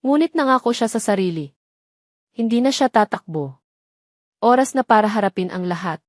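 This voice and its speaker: A woman's voice: medium pitch, a little mellow, no echo. Solemn woman narrator, very dry sound. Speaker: story narrator. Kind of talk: dramatic scripted romance narration in audio-drama manner.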